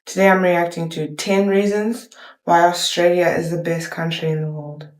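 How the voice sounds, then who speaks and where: A woman hosting a reaction video in casual, rambling chat, full of fillers and restarts, with an accent that is hard to place and a bit of allergy throat-clearing. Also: fairly low pitch, level tone, normal speed, reverberant. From New Zealand. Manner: rambling, filler-heavy, self-correcting.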